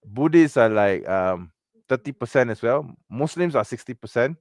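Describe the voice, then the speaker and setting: A man's voice: mid-range voice, lively intonation, moderate pace, darkish tone, no echo, clean studio sound. A man with a Malaysian English accent hosting a casual livestream and reading out comments. He sounds mocking, chuckles often and uses a lot of fillers. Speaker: livestream host.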